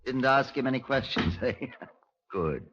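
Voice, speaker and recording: An actor playing a dry, authoritative middle-aged Scotland Yard inspector with a British accent in a 1950s radio drama. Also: male voice, medium pitch, expressive, normal speed, noisy background, lightly acted. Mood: brisk, authoritative. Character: measured, wry, authoritative male Scotland Yard police inspector. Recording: dull, lo-fi 1950s radio recording.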